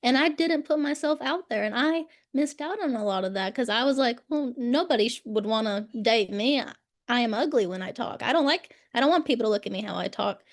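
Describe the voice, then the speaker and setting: A woman's voice: fairly high pitch, expressive, brisk. A woman who stutters, openly and wistfully sharing her story in a group video call. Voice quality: stutters, with broken-off sounds and fillers. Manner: open, wistful recollection. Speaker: support group participant.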